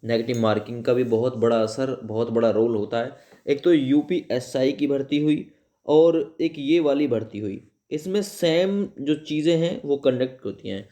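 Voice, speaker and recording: A man's voice: medium pitch, normal speed, mellow. A man explaining informally to students as a coach, repeating phrases for emphasis and often adding check-in tags. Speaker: exam coaching YouTuber.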